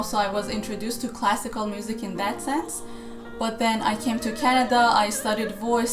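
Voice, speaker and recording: Iranian-born woman, roomy video call. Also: on the high side. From Iran. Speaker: choir director and guest. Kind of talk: conversational interview.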